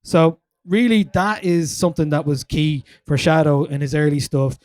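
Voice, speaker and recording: Guy hosting a music chat, kinda high, dry close sound, rambles with lots of likes. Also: normal speed, darkish tone, no room sound. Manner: chatty, lots of "like" fillers, reads quotes aloud. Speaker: host. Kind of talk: casual chat at a live listening event.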